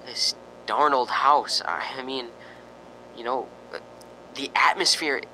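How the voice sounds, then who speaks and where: A nervous man played by an actor hosts from a haunted house in an old-time radio drama. His voice is sharp and tense, and he hesitates and trips over his words. A faint rumble runs under the recording. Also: steady tone, slow, heavily acted, poor audio. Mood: uneasy. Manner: stammering, hesitant, exclaiming. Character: jumpy, frightened male radio host in a haunted house.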